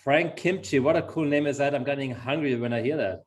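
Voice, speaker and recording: A man's voice: medium pitch, a little fast. A middle-aged man from Austria with an Austrian accent, a native German speaker, hosting an upbeat, chatty webinar over a video call in an effusive, encouraging manner. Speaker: webinar host, sales executive.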